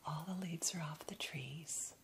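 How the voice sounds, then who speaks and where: A woman whispering breathily and gently, guiding a soothing, unhurried ASMR meditation. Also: deep voice. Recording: clear background noise, ambient hiss.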